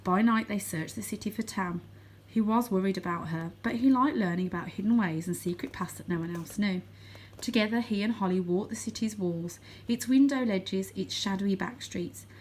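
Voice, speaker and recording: A woman's voice: medium pitch, normal speed, darkish tone, rough recording. Woman reading a children's story expressively. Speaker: storybook reader. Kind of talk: expressive children's book narration.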